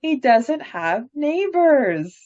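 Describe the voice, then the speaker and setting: A woman's voice: sits high, big pitch swings, very slow, darkish tone, lo-fi sound. A woman co-hosting a fan podcast, chatty and enthusiastic with lots of "like," reading questions and closing the episode. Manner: chatty, enthusiastic, filler-heavy. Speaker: podcast co-host. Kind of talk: casual fan podcast chat.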